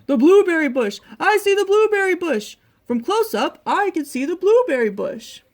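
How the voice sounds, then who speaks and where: A woman voicing a big, hearty male bear in a storybook, loud and exclamatory. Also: on the high side, very animated, unhurried. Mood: delighted.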